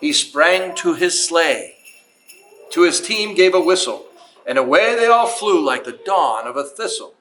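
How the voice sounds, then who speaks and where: Animated American man reading a storybook. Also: fairly high pitch, a little slow, a little hiss or hum, low-quality recording. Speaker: mayor reading a holiday story to viewers. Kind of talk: expressive storybook reading of a holiday poem. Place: children's museum.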